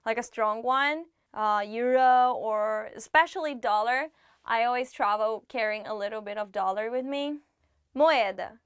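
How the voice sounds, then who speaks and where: A young woman teaching vocabulary, repeating each phrase clearly in an indoor room. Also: sits high, fairly bright tone, no echo, clean studio sound. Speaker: language teacher. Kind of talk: scripted vocabulary lesson.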